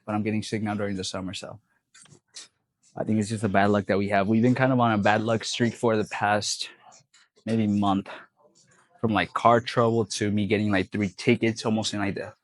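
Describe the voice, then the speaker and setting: A man's voice: fairly low pitch, little pitch movement, normal speed, no echo. A young man vlogging between sets at the gym, stuffy-nosed and sniffling, talking in a laid-back, rambling way with lots of fillers. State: congested, sniffling. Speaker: fitness vlogger. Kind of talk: casual gym vlog talk.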